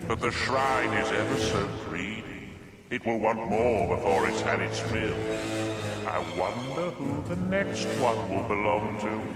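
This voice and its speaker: Gloating theatrical villain for a fantasy game; slow, cruel, echoing over loud music. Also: male voice, on the low side, animated. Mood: sly taunting.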